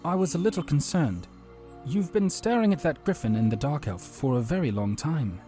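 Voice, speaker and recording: A man's voice: on the high side, lively intonation, normal speed, dark tone, faint music behind, pitched above the performer's own voice, natural voice. A man voicing an earnest, worried male leader of fantasy adventurers, polite and questioning. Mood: concerned, puzzled.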